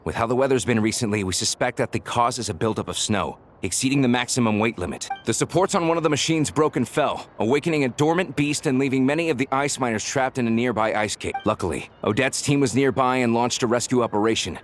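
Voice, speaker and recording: A man's voice: mid-range voice, fast talker, hardly any pauses, a little hiss or hum, natural voice. An actor playing an earnest, deferential male subordinate officer in a video game, formal, respectful and urgent. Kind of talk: video game character dialogue.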